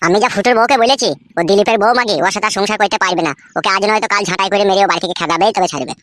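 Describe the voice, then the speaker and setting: A woman's voice: mid-range voice, racing, a bit bright, close to an everyday voice. A woman voicing a boastful, lecherous, foul-mouthed bachelor who schemes in a comedy cartoon. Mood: gloating. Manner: crude, swaggering, foul-mouthed.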